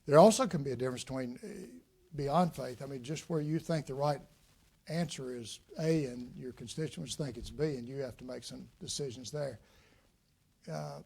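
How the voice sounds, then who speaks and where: Folksy older man, gravelly. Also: medium pitch, darkish tone. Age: 60s. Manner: folksy, reflective, frequent "uh" pauses. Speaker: guest speaker, former state legislator. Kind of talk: public talk with audience questions. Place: lecture room with audience.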